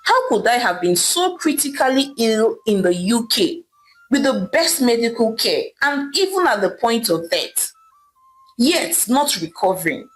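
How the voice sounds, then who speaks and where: A distraught young daughter from a folktale, acted out by a woman storyteller. She pleads and exclaims in a high, very animated voice, repeating herself in indignation. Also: unhurried, faint music behind, rough recording. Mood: perplexed, pleading.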